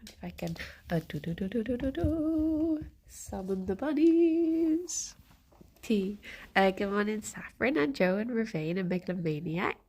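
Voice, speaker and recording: Brief: woman streamer, snuffly, slow gravelly chat. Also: mid-range voice, expressive, darkish tone. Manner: greets viewers by name, giggly. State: snuffly from a cold. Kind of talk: casual chat with live chat.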